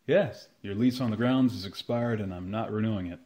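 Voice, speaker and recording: A man's voice: on the low side, brisk, darkish tone, lightly acted. A man playing a plainspoken, matter-of-fact older landowner in an old-time style radio comedy. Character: plainspoken aging male landowner.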